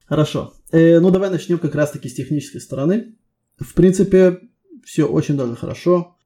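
A man's voice: a little high, fairly quick, warm tone. A man working as a technical interviewer, giving casual feedback in a reassuring, encouraging way.

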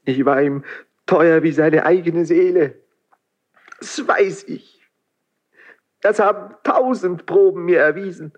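An actor playing an anguished, impulsive young prince in a classical radio drama, fervent and declamatory, in broken phrases with a husky, breathy voice. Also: male voice, on the high side, slow, long pauses. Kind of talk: classical radio stage acting.

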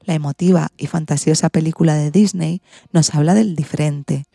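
Radio host, woman, Spain accent. Low, gravelly-edged delivery of scripted segments, dry close sound. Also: fairly even intonation, moderate pace, mellow, dry recording.

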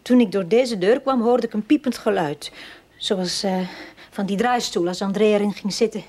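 An actress playing a haunted, uneasy widow in a radio drama, husky, deliberate and defensive. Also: female voice, medium pitch, darkish tone, close to an everyday voice. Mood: frightened, hushed. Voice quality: husky, breathy.

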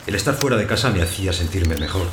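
An actor playing a lonely abandoned householder, narrating his story in a measured, plain way for an audio drama. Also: low-pitched, animated. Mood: subdued, reflective. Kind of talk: first-person literary narration in audio fiction. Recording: roomy echo, clear background noise.

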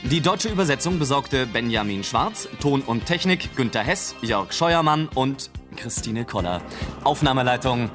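A man's voice: medium pitch, expressive, moderate pace. Male radio drama announcer over music. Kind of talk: scripted radio narration, reading credits. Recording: music under the voice.

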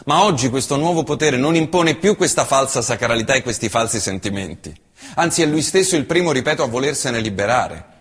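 A male stage actor delivering a theatrical monologue, impassioned and shifting from hushed tones to loud bursts. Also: medium pitch, expressive, brisk, husky, in a large hall. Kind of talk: theatrical monologue reciting an essay.

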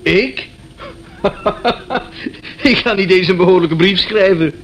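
A man's voice: high-pitched, slow pace, fairly bright tone, highs cut off, full character voice. An actor playing an eccentric, easygoing young man in a radio play, musing and amused, with some bashful hesitation. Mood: smitten, playful. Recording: noisy old radio drama recording.

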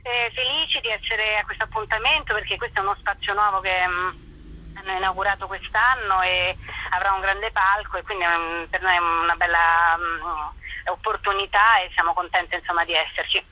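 A woman's voice: medium pitch, steady tone, brisk, sharp tone, no echo. A woman being interviewed by telephone, talking casually and hesitantly as she searches for her words. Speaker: actress, interview guest. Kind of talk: casual spoken interview over the phone. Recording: phone call to a radio show.

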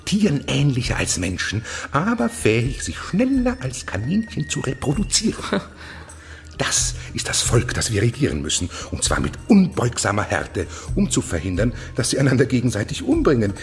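An actor playing a fanatical, haughty eunuch official in a radio play, declaiming and preaching with contempt. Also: male voice, mid-range voice, wildly swooping pitch, normal speed, faint music behind. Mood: contemptuous. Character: fanatical, haughty male eunuch official ruling over monsters. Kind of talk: German radio play acting.

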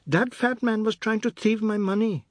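A man voicing a stubborn, quick-tongued young boy in a story reading. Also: sits high, steady tone, dry recording, pitched above the performer's own voice, close to an everyday voice. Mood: indignant. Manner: Caribbean creole grammar, cheeky retorts.

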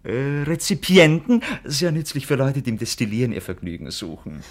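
A cheeky valet played by a male actor in a classic radio comedy. He has a mid-range voice with animated intonation. His delivery is wry, theatrical and mock-formal. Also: mid-range voice, moderate pace, natural voice. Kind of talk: classic German radio play comedy acting.

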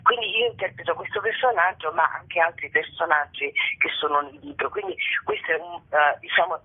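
Husky woman on a tinny phone line. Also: sits low. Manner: halting, searching for words with drawn-out pauses. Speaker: playwright and actress, interview guest. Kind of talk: spontaneous radio interview. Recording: narrow, tinny telephone line.

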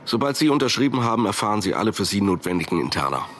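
An actor playing a guarded, authoritative tech company boss in a radio drama, curt and businesslike. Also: male voice, fairly low pitch, sing-song, rapid-fire, a bit bright, a little hiss or hum.

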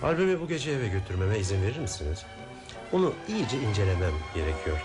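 Sakin, meraklı bir dedektif. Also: male voice, lowish voice, very animated. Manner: measured, questioning, polite. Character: calm, inquisitive male detective, middle-aged. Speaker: radio drama actor. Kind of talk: 1970s radio drama acting. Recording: radio drama with music behind.

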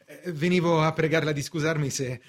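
An actor playing a timid, apologetic clerk in a radio play, hesitant and stammering, with sudden bursts of bravado. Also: male voice, on the high side, fairly even intonation, brisk, close to an everyday voice. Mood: hesitant, uneasy. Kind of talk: theatrical radio drama acting.

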